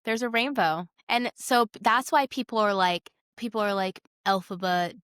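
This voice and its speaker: A woman's voice: mid-range voice, normal speed, fairly bright tone, clean tone, no echo, clean studio sound. A woman co-hosting a podcast in casual, conversational chat with a friend. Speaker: podcast co-host.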